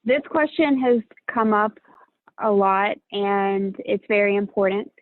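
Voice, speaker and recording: A woman moderating a panel discussion, putting questions in a measured, formal way. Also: medium pitch, no room sound, phone-quality sound.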